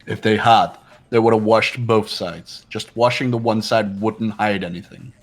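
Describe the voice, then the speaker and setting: A man playing an aloof, shrewd young skeptic in fan-made visual novel game dialogue, measured and questioning. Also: on the low side. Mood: skeptical. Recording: music behind the voice.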